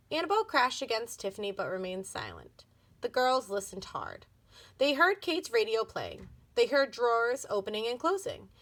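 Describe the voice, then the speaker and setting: Bright, expressive woman reading a storybook. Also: fairly high pitch. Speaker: school reader reading a children's book aloud.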